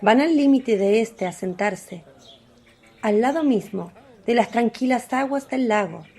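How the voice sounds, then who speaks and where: A woman's voice: on the high side, animated, a little slow, a little mellow, breathy edge. A woman narrating a religious radio drama in a composed, scripted storytelling style.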